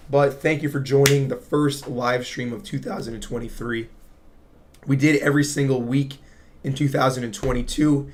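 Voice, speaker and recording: A man with a raspy voice hosting a casual crypto livestream, explaining charts to viewers and often checking in with "right?". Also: medium pitch. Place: home studio. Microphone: studio mic.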